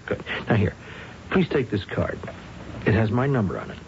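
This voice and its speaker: An actor playing a patient, methodical police lieutenant who questions people politely and hesitantly in an old radio drama. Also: male voice, fairly low pitch, wildly swooping pitch, normal speed, vocal fry, a little mellow, full character voice. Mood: patient, measured. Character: unhurried, probing male police lieutenant questioning a suspect. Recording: noisy, muffled old radio recording.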